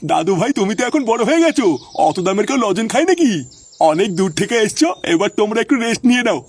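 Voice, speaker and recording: Squeaky, fast-talking cartoon grandpa. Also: male voice, very animated, husky, full character voice. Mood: sly, stingy. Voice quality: high-pitched, cartoonish. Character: grumpy, fussy old village grandfather, sharp-tongued. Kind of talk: comic cartoon dialogue.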